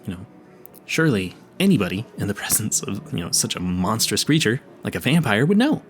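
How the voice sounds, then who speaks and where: A man's voice: on the low side, sing-song, fairly quick. A man playing a clueless, earnest vampire hunter in an audio roleplay, stammering and rambling in a politely formal, pleading way. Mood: rambling, apologetic. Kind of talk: intimate audio roleplay addressed to the listener.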